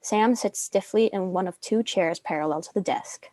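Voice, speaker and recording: A woman's voice: mid-range voice, moderate pace, mellow, no room sound. A woman reading stage directions as narrator of a scripted drama.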